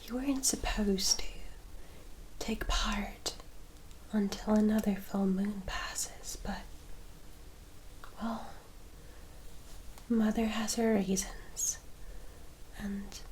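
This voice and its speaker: A woman playing a polite, excitable young vampire sister in an ASMR roleplay, in a soft breathy whisper with gentle, hesitant, formal speech. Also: medium pitch, slow pace, pauses often, close to an everyday voice, rough recording.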